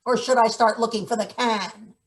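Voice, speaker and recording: A woman's voice: a little high, fairly even intonation, moderate pace, lightly acted. A woman playing a menacing, sardonic late middle-aged maintenance worker in a table read of a satirical play. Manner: sardonic, interrogating, theatrical threats.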